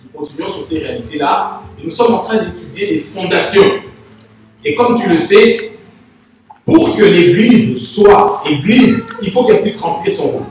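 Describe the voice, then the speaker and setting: A man from Congo whose first language is Lingala preaches a sermon, swinging between loud and soft. His voice sits a little high for a man. The recording is very echoey and heard from far off in a large church hall, with faint noise behind. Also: expressive, normal speed. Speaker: pastor.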